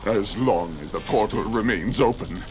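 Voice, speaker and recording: An actor playing a menacing, gloating fantasy conqueror in an action film, theatrical and drawn-out, with loud music and effects. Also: male voice, fairly low pitch, close to an everyday voice.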